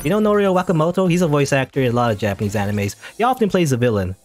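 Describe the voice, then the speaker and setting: A man talks his way through a video game in a loose, wandering commentary. His pitch swings up and down a lot as he goes, and he keeps stopping to correct himself. Faint game music plays underneath. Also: sing-song, rapid-fire. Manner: rambling, chatty, self-correcting. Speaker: gaming channel host. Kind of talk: casual let's play commentary.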